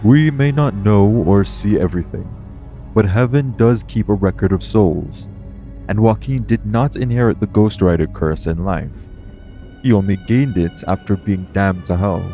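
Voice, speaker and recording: A calm guardian angel, acted by a man for an audio roleplay, speaks in a very low, mellow voice. The delivery is formal and measured, explaining things earnestly and protectively to the listener. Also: soft background music, close to an everyday voice. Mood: solemn, explaining.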